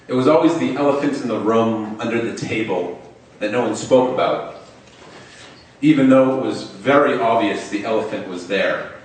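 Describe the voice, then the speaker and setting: A man's voice: lowish voice, normal speed, a little hiss or hum. A young man telling a personal story on stage. He stutters, openly repeating and stretching the first sounds of words. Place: stage in a large hall. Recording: echoey hall, mic far off.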